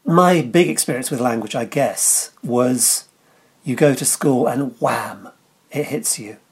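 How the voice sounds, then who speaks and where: A man in his sixties, a science writer answering interview questions in a halting way, often repeating words. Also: medium pitch, breathy edge.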